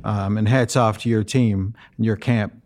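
A man's voice: fairly low pitch, steady tone, moderate pace, a little mellow. A middle-aged man interviewing a singer, chatty and full of compliments, with frequent ums. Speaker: radio interviewer.

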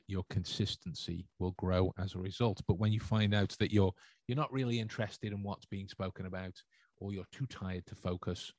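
A man's voice: low voice, darkish tone, dry recording. A man hosting a solo livestream podcast, talking through his thoughts and answering chat with many restarts and fillers. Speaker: podcast host.

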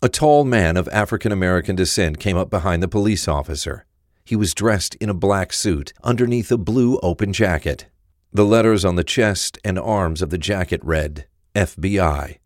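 A man's voice: low-pitched, moderate pace, no echo, studio quality. A man reading scripted narration for a crime story as its narrator.

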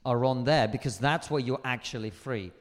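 A man, a comedian guest in a live stage chat, talks in a forthright, emphatic way and swears freely. His voice sits at an ordinary pitch. The hall leaves a long reverb tail on every word. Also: normal speed. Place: live venue stage before an audience.

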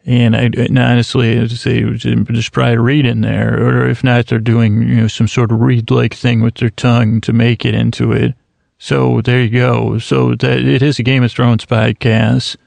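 Gravelly man rambling through a podcast intro. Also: medium pitch, moderate pace, no echo. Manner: goes off on rambling tangents; self-mocking, deadpan musing. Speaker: podcast host.

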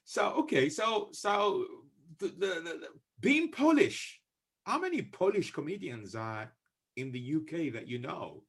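A middle-aged man with a gravelly, husky voice and lively, swinging intonation. He hosts a casual interview, asking his questions in a halting way with repeated words. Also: fairly high pitch. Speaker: podcast host, comedian.

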